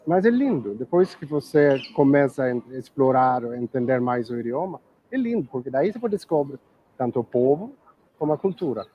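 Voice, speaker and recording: A middle-aged Swedish man with a Swedish accent, a guest in a casual chat, talking unhurriedly and pausing to search for words. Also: medium pitch, animated, dull audio, a little hiss or hum. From Sweden.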